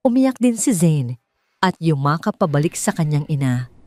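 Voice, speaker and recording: A woman with a Filipino accent reads a scripted dramatic story in a solemn, deliberate way, with short pauses between phrases. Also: deep voice, sing-song, darkish tone, no echo. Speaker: story narrator.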